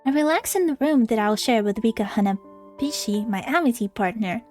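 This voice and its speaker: A woman's voice: on the high side, lively intonation, a little fast, darkish tone. A woman streaming a visual novel, reading it aloud expressively and adding casual commentary. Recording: music playing behind the voice. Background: game music.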